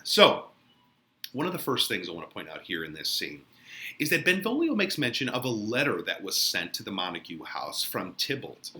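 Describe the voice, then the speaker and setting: A man's voice: fairly low pitch, sing-song, moderate pace, pushed and bright. A man giving informal, conversational lesson commentary as a literature teacher, explaining with emphasis.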